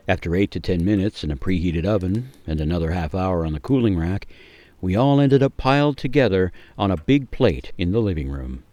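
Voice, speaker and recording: A man with a low voice narrates a dramatic radio story. His delivery is measured and theatrical, building suspense. The sound is dry, with no room echo. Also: a little mellow.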